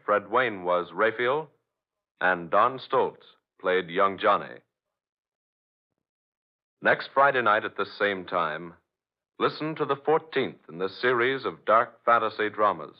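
A man announcing in scripted 1940s radio style, measured, dramatic and portentous. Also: on the low side. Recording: dull, lo-fi old radio recording.

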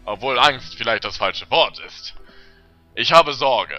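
A man playing a thoughtful, caring expedition leader, earnest and deliberate, in a fantasy audio drama. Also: mid-range voice, animated, sharp tone, dry recording. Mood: concerned, earnest. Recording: dull lo-fi sound, music underneath.

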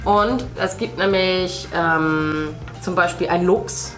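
A woman's voice: lowish voice, fairly even intonation, takes it slowly, faint music behind. A middle-aged woman, chatting casually as she paints indoors. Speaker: host, mother. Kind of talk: casual chat while painting. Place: indoor room at a craft table.

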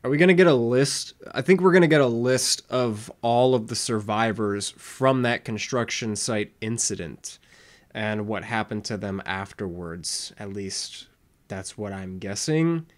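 A man's voice: on the low side, unhurried. A young adult man giving casual reaction commentary into a desk microphone in a small room. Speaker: reaction video host. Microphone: desk microphone with headphones.

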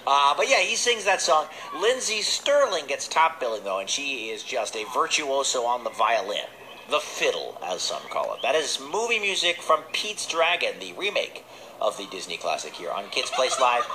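Animated male children's radio host, noisy recording. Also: medium pitch, normal speed. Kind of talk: casual live radio presenting and banter.

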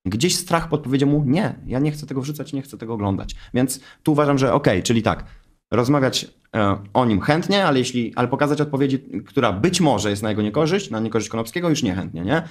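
Fast-talking man, emphatic and defensive. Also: medium pitch, lively intonation. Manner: emphatic, defensive, swears often. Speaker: guest on a stream. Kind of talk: heated interview answers. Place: studio with wooden wall. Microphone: desk microphone.

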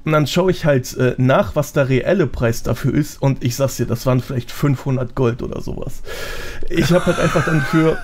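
A man's voice: medium pitch, normal speed. A man talking casually as a podcast guest, explaining things in a chatty, self-deprecating way.

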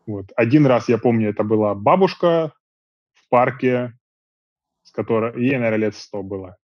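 A man in his thirties, an interview guest, chatting casually in long, relaxed stretches from a small room at home over earbuds on a video call. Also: medium pitch, moderate pace, dry recording. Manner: relaxed, talks in long rambling stretches with fillers. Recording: dull dry video call audio.

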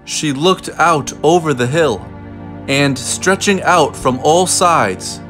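A man's voice: a little high, slow pace, a bit bright. Male storybook narrator, music behind. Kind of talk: scripted read-aloud narration of a children's picture book.

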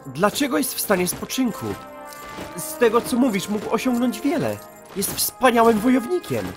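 Man playing high-voiced earnest young elf paladin. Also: sing-song, normal speed, over music. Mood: curious. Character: young, earnest elven paladin novice, humble and curious. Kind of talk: fantasy audio drama acting.

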